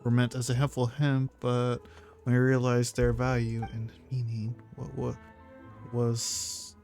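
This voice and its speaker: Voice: medium pitch, flat delivery, unhurried, a little mellow. A game streamer giving casual, slangy live commentary in a laid-back way. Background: faint game music.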